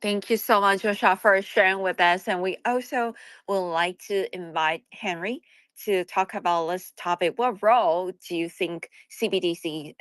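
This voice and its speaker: Low-pitched woman hosting a panel. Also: a bit bright, no room sound. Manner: polite, courteous. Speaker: panel host. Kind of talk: formal panel moderation.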